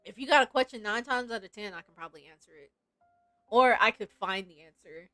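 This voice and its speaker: A woman game streamer chatting casually and reacting in an animated, giggly way. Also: fairly high pitch, a little fast, dry recording, soft background music. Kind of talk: casual livestream chat with read-aloud game lines.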